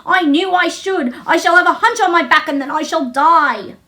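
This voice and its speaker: A woman's voice: very high voice, moderate pace, pushed and bright, pitched above the performer's own voice. A woman voicing a frightened, sickly, hysterical young boy in a storybook reading, gasping and choked with sobs. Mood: choked, fearful.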